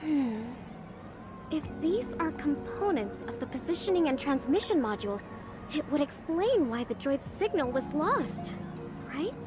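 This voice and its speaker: A woman playing a polite, earnest young female servant in an anime game, speaking in a courteous, gentle, deferential way. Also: high voice, very animated, unhurried. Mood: puzzled, careful. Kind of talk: video game character acting. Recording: music behind the voice.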